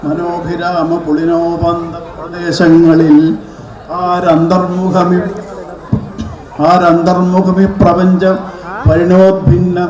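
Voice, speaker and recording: A man recites verse in an echoey hall, with some audience sound around him. His voice is fairly high and mellow. He chants the lines in a measured way, sometimes halting and repeating a phrase. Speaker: poetry reciter. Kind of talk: live poem recitation at a community event.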